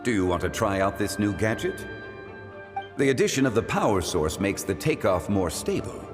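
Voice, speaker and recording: This is a composed, scholarly gentleman from a video game. The voice is low yet lively in its melody, with formal, eloquent phrasing. Soft music sits behind it. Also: normal speed. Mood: calm. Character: composed, courteous, scholarly gentleman of old-world wisdom.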